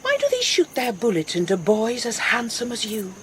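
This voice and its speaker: A woman's voice: a little high, wildly swooping pitch, normal speed, slight background noise. An actress playing a languid, imperious middle-aged Spanish noblewoman in a radio drama, brooding and commanding. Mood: brooding, seductive. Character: languid, imperious older Spanish noblewoman, sun-weary mother.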